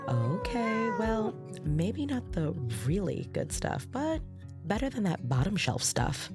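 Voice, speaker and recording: A woman's voice: low voice, heavily acted. A woman playing an earnest young woman who narrates her own story expressively in an audio drama. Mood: excited, giddy. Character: bubbly, anxious young woman narrating her new job.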